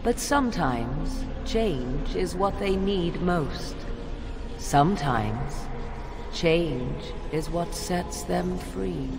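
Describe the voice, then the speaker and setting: A woman playing a proud, secretive witch in a video game, speaking in formal, archaic phrases with a haughty, measured manner. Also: on the low side, expressive, full character voice. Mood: solemn. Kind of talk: dramatic video game acting. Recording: long hall reverb, clear background noise.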